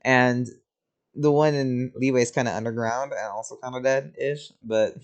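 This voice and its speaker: A woman's voice: rumbling low, steady tone, normal speed, highs cut off. A woman giving casual gameplay commentary as a video host, chatty and informal. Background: faint game music.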